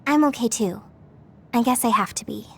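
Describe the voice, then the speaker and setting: A woman's voice: fairly high pitch, normal speed, vocal fry, a bit bright, slightly rough, exaggerated voice. A woman voicing a sweet, caring young female soldier in an anime-style video game. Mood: resigned.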